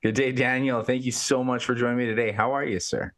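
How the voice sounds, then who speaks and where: A man's voice: mid-range voice, steady tone, fast talker, a bit bright. A man hosting a fitness podcast, upbeat and chatty, often repeating words as he thinks. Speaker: podcast host. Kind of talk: casual interview.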